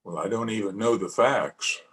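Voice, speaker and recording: A man's voice: lowish voice, fairly bright tone, close to an everyday voice. An actor playing a kindly, bewildered middle-aged father in an old-time radio drama, speaking hesitantly. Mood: gentle, puzzled. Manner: hesitant, halting.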